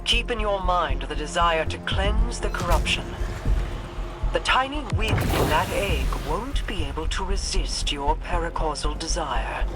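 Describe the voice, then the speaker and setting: A woman's voice: on the low side, expressive, a little slow, over loud music. A woman playing a solemn mystic guide in a video game, intense, formal and incantatory. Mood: solemn urging.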